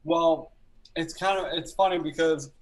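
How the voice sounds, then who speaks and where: Relaxed young man, slightly high voice. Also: a little slow, poor audio. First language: Albanian. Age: young adult. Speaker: guest filmmaker. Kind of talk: casual interview chat. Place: small room at home.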